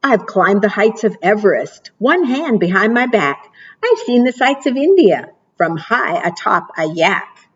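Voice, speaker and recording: A woman librarian reading picture books and leading rhymes for preschoolers in a slow, encouraging sing-song way. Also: mid-range voice, sing-song, husky, highs cut off. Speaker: children's librarian.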